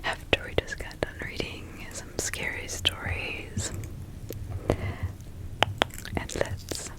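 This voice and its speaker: Female ASMR host, whispers throughout, very unhurried delivery, breathy.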